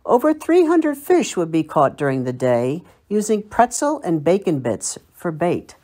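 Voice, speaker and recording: Older American woman, lively low-pitched narration. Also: a little slow. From Long Island, United States. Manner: storytelling, reminiscing. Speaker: library local history presenter. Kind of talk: scripted narration.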